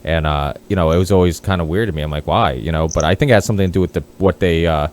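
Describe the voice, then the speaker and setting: A man's voice: deep voice, very fast, darkish tone. A Portugal-born man hosting a casual podcast, talking in a rambling, profane way with many restarts. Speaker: podcast host.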